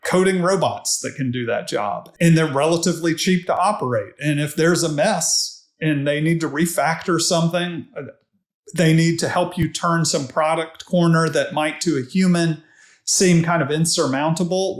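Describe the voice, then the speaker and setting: A man giving measured, reflective and analytical answers as a podcast guest, recorded in an echoey room. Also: fairly high pitch. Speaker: podcast guest, technology executive. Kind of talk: conversational interview answers. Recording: echoey, reverberant room sound.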